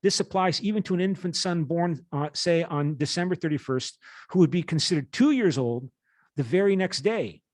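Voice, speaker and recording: A man in his late fifties lecturing as a university teacher, reading aloud and explaining in a halting way with rephrasings. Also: on the high side, expressive, no room sound.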